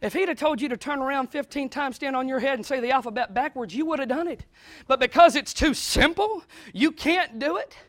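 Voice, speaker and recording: Preacher guy, really high bright voice, goes from quiet talk to full-on yelling, dry close sound. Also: brisk, no room sound. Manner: swings from low conversational talk to shouted preaching. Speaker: pastor. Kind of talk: sermon. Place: small church.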